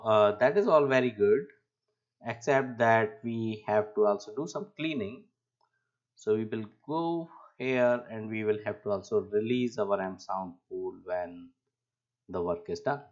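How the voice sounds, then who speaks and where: A man giving an unscripted coding tutorial as an instructor, explaining step by step in a halting way, on a dull lo-fi microphone. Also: fairly low pitch. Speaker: programming instructor.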